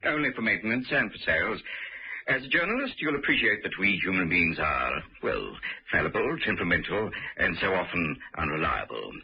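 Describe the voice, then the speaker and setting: A man's voice: sits low, very animated, brisk, creaky, husky, full character voice. A man playing a precise, coolly superior British scientist devoted to machines, speaking formally and pedantically in a vintage radio drama. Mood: smugly assured. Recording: dull old radio recording, noisy.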